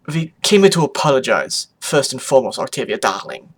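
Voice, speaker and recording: A man's voice: medium pitch, sing-song, fairly quick. A man voicing a flamboyant vampire pony mother with a grand, emphatic manner and a foreign accent, in a story reading. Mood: apologetic, earnest. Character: flamboyant vampire pony mother, female, theatrical fashion celebrity.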